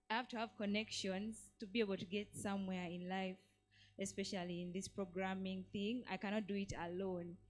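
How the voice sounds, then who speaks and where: A woman attendee giving earnest, deliberate impromptu remarks to a gathering. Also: medium pitch. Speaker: summit attendee.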